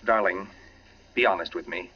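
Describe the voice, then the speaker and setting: An actor playing an affable but uneasy suburban husband in an old radio drama. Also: male voice, sits low, moderate pace, slightly rough. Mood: uneasy, probing. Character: uneasy suburban husband, affable, growing suspicious of his wife. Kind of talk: 1940s radio drama acting. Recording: muffled old radio broadcast recording.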